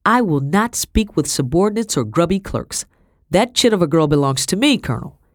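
A woman's voice: lowish voice, big pitch swings, normal speed, no echo, studio quality, pitched above the performer's own voice. A woman voicing a haughty colonial mistress, cold and indignant, imperious and clipped in a storybook reading. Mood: indignant, demanding.

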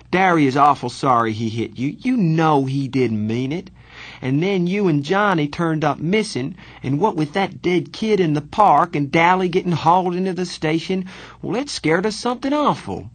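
A man plays an affectionate, worried teenage brother in an audiobook story. His voice sits high, and he speaks earnestly in plain, folksy slang. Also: animated, normal speed. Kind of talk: audiobook reading of a letter.

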